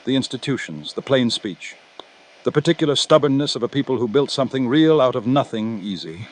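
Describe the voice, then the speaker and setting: An actor playing a measured, literate frontier newspaper correspondent with an English accent, narrating dramatically from a script. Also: male voice, mid-range voice, animated, close to an everyday voice. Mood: reflective.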